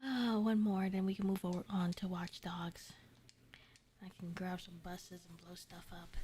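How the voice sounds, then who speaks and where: A woman's voice: fairly low pitch, level tone, a little slow, mellow. A woman livestreaming a game, chatting casually in a rambling way while she plays. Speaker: game streamer. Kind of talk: casual gaming livestream chat. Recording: clearly noisy livestream audio.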